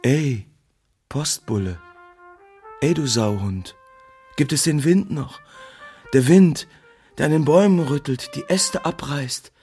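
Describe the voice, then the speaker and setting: A man's voice: a little high, takes it slowly, long pauses, dark tone, soft background music. An actor playing a bedridden, bitter, abusive sick man who grumbles and rants in a radio drama. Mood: brooding, abusive. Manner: grumbling, cantankerous, ranting. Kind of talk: German radio drama acting.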